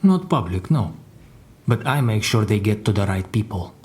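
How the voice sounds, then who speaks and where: An actor playing a calm, vengeful prison informant in an audio drama, measured and matter-of-fact. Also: male voice, lowish voice, lightly acted. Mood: coolly confiding.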